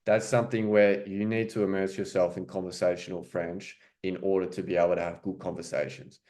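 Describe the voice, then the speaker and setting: Man, French coach, explanatory, fairly low pitch. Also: level tone, brisk, a little mellow. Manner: explanatory, repeats "right?" and "okay?". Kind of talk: casual teaching commentary.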